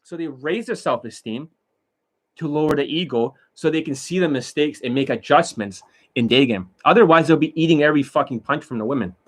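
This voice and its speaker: A man lecturing as a dating coach in a blunt, profane rant, swinging between loud outbursts and low muttering. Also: a little fast. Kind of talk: ranting monologue lecture.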